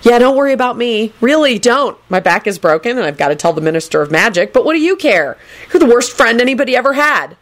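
A woman's voice: rapid-fire, few pauses, a bit bright. A woman voicing a whiny, melodramatic young man who splutters and stammers in sarcastic panic, as in an audiobook. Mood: indignant whining. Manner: spluttering, stammering, high-pitched squeaks.

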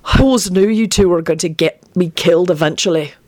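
A woman's voice: on the high side, animated, unhurried, no echo, pitched above the performer's own voice. A woman voicing an anxious, gloomy young man in an audiobook story, exasperated and sardonic. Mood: sullen, gloomy.

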